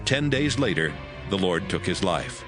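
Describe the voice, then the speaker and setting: A man with a fairly low voice and lively intonation narrates scripture. His delivery is measured, scripted storytelling for a dramatized audio Bible, with faint music underneath.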